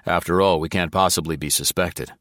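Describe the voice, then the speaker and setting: A man voicing a calm, easygoing young man in a storybook reading. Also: brisk, no room sound, clean studio sound. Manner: cheerful, low-key.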